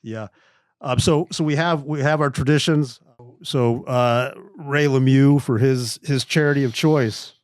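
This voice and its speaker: A male podcast host with a mid-pitched voice who talks in an easygoing, halting way and keeps restarting his phrases. The recording is close and dry with no room sound. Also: fairly even intonation, dry recording. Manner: hesitant, agreeable, laughs easily, restarts phrases. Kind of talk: casual podcast chat. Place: podcast studio.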